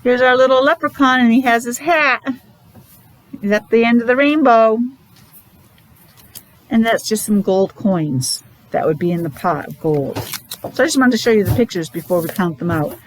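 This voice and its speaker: Lady teacher doing counting with little kids, kinda high voice, asks questions and encourages, bit of noise behind. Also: animated, normal speed, long pauses, rough recording. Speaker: preschool teacher. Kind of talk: children's counting lesson.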